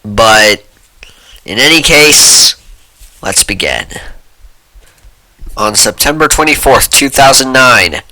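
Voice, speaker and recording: A man hosting an informal commentary video, speaking haltingly and reading some material aloud. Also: mid-range voice, animated, pushed and bright, no echo, rough recording. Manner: halting, amused, often breaking into laughter while reading. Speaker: host.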